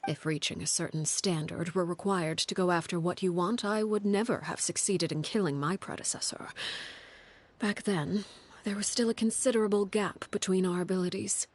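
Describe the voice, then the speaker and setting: A woman playing a stern, composed leader of a secret family in a video game, speaking in a measured, formal, authoritative way. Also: on the low side, fairly even intonation. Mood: firm, grave. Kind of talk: scripted video game dialogue.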